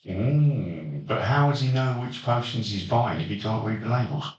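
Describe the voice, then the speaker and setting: A man's voice: fairly low pitch, lively intonation, moderate pace, darkish tone, highs cut off. A man hosting a casual podcast chat, recapping a game session with hesitant ums and dry jokes. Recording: roomy echo, distant mic.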